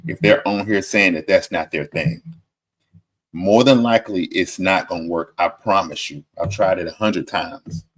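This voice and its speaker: A man's voice: low-pitched, normal speed. A man commenting on a dating show clip, giving emphatic, sermon-like advice and repeating his warnings. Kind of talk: preachy reaction commentary.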